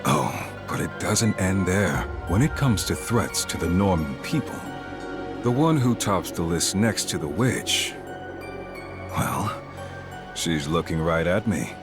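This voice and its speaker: An actor playing a cunning, smug warrior commander in a video game, speaking in a suave, sardonic, measured way. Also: male voice, low-pitched, sing-song, full character voice. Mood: smug, calculating. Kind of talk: dramatic video game voice acting. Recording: game music under the voice.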